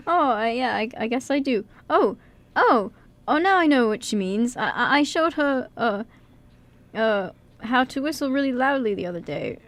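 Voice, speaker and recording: Woman playing a bumbling, stammering young man, high voice. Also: animated, measured pace, a bit bright. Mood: bashful, amused. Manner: heavily stammering, hesitant, self-deprecating. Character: bumbling, nervous, good-natured young man. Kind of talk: amateur radio play acting.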